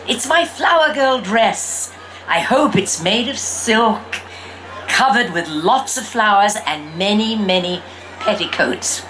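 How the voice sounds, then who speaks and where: A woman reading a storybook in the first person as an exuberant young girl who fancies herself a fairy princess, with theatrical, drawn-out emphasis. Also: mid-range voice, a bit bright, slight background noise, poor audio.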